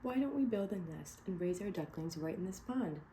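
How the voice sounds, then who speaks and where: A woman's voice: fairly low pitch, animated, moderate pace, mellow, slight background noise, close to an everyday voice. A woman reading as a fussy mother duck. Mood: pleased.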